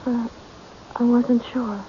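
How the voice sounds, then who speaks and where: An actress playing an anxious, naive woman in a radio mystery, speaking in a timid, halting way with repeated words. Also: a little high, flat delivery. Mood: uneasy, reluctant. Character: anxious, naive, hesitant woman, easily dominated. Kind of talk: radio mystery drama acting. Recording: dull old radio recording, faint noise.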